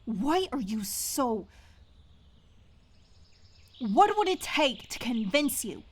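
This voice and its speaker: A woman's voice: on the high side, big pitch swings, takes it slowly, darkish tone, heavily acted. An actress playing a defiant, dryly mocking townswoman in a fantasy audio drama, with a cutting delivery. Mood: frustrated. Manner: dry, cutting. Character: sharp-tongued, defiant female local, loyal and dryly mocking. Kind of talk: fantasy audio roleplay acting.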